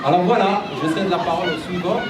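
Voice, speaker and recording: Halting police officer at a festival. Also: male voice, sits high. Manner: hesitant, many restarts and fillers. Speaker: federal police officer giving a speech. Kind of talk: public speech at an outdoor festival. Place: outdoor community festival. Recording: echoey PA sound from far off, crowd noise. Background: crowd chatter.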